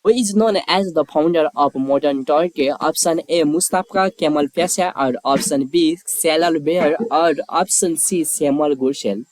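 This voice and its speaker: A man's voice: on the high side, moderate pace, hardly any pauses, low-quality recording. A man reading scripted quiz questions and lettered answer options as a narrator.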